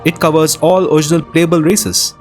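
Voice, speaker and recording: Guy doing enthusiastic game mod commentary, slightly high voice, music pretty loud underneath. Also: little pitch movement, fairly quick. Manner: enthusiastic, appreciative. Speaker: host of a game modding news show. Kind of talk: gameplay commentary. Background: prominent game music.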